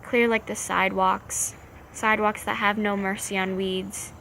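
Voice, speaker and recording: Slow, creaky-voiced woman performing slam poetry. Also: medium pitch, level tone, bright, forceful tone, low-quality recording. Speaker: slam poet. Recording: faint background noise.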